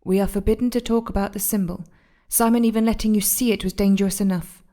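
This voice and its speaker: Woman, confused yet eerily composed, evasive, measured, mellow delivery, audio drama female actor. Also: mid-range voice, steady tone, lightly acted. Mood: cold, secretive. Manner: measured, guarded, detached. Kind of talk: naturalistic audio drama acting.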